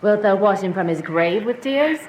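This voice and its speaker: Casting: actress as imperious middle-aged noble mother, medium pitch, cold formal verse, radio play, roomy echo. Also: animated, moderate pace, natural voice. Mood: reproving. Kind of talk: Shakespearean radio drama acting. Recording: echoey room, faint background noise.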